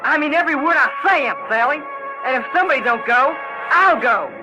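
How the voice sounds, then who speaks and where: A man playing a bold, excitable Southern boy in an audio drama, eager and insistent. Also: extremely high pitch, fairly quick, lo-fi sound, music in the background. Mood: indignant. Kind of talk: audio theater acting.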